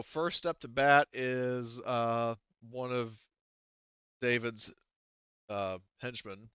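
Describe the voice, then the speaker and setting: A man acting as game master, casually running a tabletop game and thinking aloud in a halting way, on slightly dull audio. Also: medium pitch, a bit bright, smooth, no room sound. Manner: halting, talks through rules while thinking aloud.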